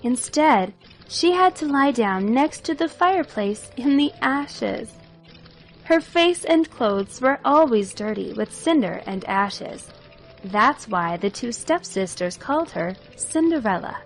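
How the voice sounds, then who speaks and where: Slow, high-pitched woman telling fairy tales. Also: soft background music, low-quality recording. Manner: expressive, measured storytelling. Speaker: storyteller. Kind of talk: scripted fairy tale narration for children.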